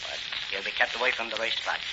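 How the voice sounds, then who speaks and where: An actor playing a scheming, menacing crime boss with a commanding manner, in 1940s radio drama style. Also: male voice, fairly low pitch, very fast, lightly acted. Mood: calculating, menacing. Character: scheming male crime boss running a race-fixing racket. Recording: muffled, hissy old radio recording.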